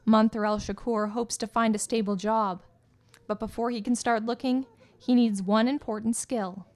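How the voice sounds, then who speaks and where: A woman reporter giving scripted news narration in a measured, clear delivery as a voice-over. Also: fairly high pitch, fairly even intonation, darkish tone.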